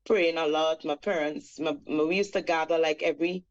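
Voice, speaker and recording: A woman's voice: low voice, fairly even intonation, a little fast, highs cut off. A woman in her forties from Trinidad with a Trinidadian accent, a reggae singer guest recounting her life in a reflective, storytelling way with many fillers. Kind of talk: radio interview.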